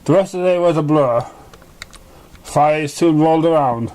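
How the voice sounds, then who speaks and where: A man reading a story aloud as narration, halting and often stumbling over the words. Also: on the high side, darkish tone. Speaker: amateur fan fiction reader.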